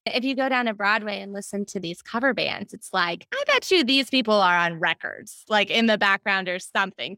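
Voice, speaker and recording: A woman with an American accent speaking as a podcast guest in an animated, conversational interview style. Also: medium pitch, no room sound. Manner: animated, quotes self and others in anecdotes. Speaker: podcast guest, children's book editor.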